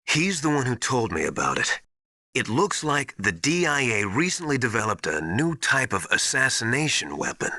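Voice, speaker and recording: An actor playing an earnest, anxious confidant in a video game drama, speaking in an urgent, hesitant, confiding way. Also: male voice, mid-range voice, big pitch swings, normal speed, sharp tone, no echo, studio quality. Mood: secretive, uneasy.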